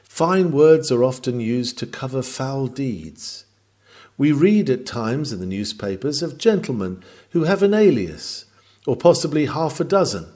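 Male sermon reader, medium pitch, mellow tone, oratorical delivery of Victorian prose with weighted pauses. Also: sing-song. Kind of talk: dramatic sermon reading of a Victorian text.

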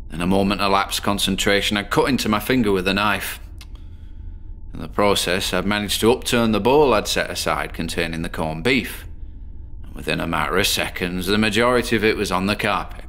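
A man's voice: sits low, fairly bright tone. An actor playing a lonely, downtrodden middle-aged man in a bedsit, in a radio drama, who talks in a rambling, digressive, self-deprecating way. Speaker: patient in a horror audio drama. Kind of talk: first-person radio drama monologue.

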